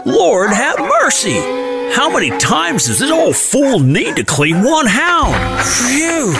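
An old backwoods cartoon codger grumbles in a high Southern drawl. He is folksy and exasperated, swinging widely in pitch over loud music. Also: huge pitch swings, slow, vocal fry, exaggerated voice. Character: exasperated old backwoods hillbilly man with hound.

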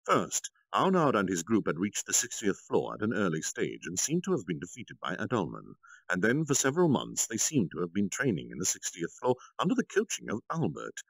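Low, gravelly, very animated male storyteller. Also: a little fast, dry recording, rough recording. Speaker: storytime streamer, reader. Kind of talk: expressive story narration, read aloud.

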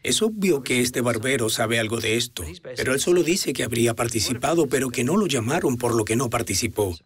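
A man voicing a Spanish voice-over translation of an interviewed agent, telling the story in a lively way. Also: medium pitch, brisk.

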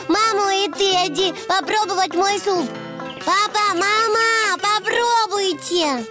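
Женщина озвучивает весёлого маленького ослика-мальчика в детском мультфильме, говорит просто и по-детски, будто сама с собой. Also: female voice, very high-pitched, heavily acted. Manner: simple, childlike, self-narrating delivery. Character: cheerful little boy donkey, playful and imaginative. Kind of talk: children's cartoon dubbing. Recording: music behind the voice.

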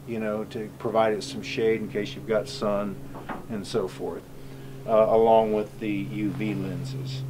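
A man's voice: lowish voice, noisy background. A middle-aged man talking casually to camera on an outdoor cabin porch, rambling with many ums and uhs. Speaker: homestead YouTuber.

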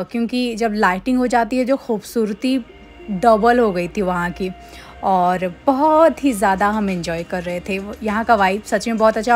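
Young woman, chatty vlog voice-over, fairly high voice, faint music underneath. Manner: chatty, mixes English phrases into Hindi, frequent "uh" fillers. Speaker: travel and food vlogger. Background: soft background music.

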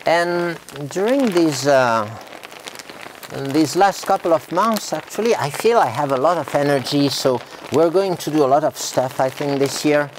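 A man's voice: on the high side, animated, a little slow, noisy background. A middle-aged man, a tea farmer, rambling casually through a vlog in his tea factory, full of filler sounds and restarts. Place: tea factory, indoors by a hot wok.

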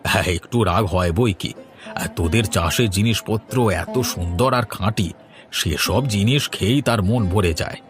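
A man's voice: fairly low pitch, moderate pace, faint music behind, close to an everyday voice. A man voicing a hearty, food-loving elderly village father in a Bengali cartoon story, with a Bengali accent. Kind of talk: Bengali animated story voice acting.

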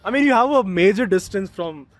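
A young man conducting a relaxed interview, with a high voice and a quick, rushing pace. He asks his questions eagerly, piling on hypotheticals. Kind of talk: casual interview. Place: outdoor cafe patio.

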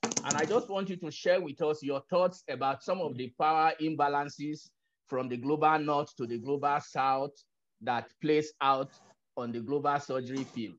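A man's voice: fairly high pitch, steady tone, normal speed. A man chairing a panel discussion over a video call, speaking hesitantly with many fillers. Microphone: laptop camera on a video call. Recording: dull video call audio.

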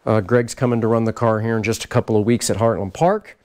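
A middle-aged man giving a casual walk-around tour of his race car shop, explaining parts in a relaxed, practical way. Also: on the low side, fast talker. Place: large garage workshop, indoors.

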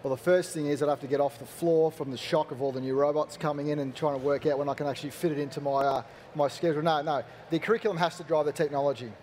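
A man speaking fast in a mellow, darker tone, answering as an IT manager on a panel. He is brisk and practical and sounds keen. Faint room noise sits under his voice. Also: fairly high pitch, steady tone. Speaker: school IT manager.

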